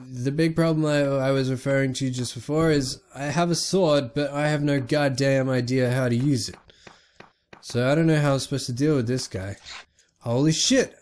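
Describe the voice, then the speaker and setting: A sick young man giving casual, excitable let's play commentary on a retro game, swearing often and talking to the characters on screen. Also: mid-range voice, moderate pace. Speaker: gaming YouTuber.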